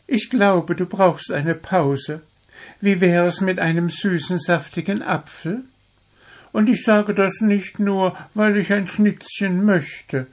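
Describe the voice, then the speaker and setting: A man's voice: sits high, fairly even intonation, takes it slowly, voice pushed up. A man voicing a small, proud, cajoling blue bird with romantic airs in a storybook. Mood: coaxing. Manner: confident, cajoling, unruffled. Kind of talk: storybook character voice.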